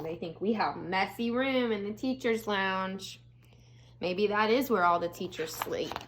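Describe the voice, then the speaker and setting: A woman, an elementary school teacher, reading a storybook aloud to young children with expressive delivery and casual, chatty asides. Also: a little high.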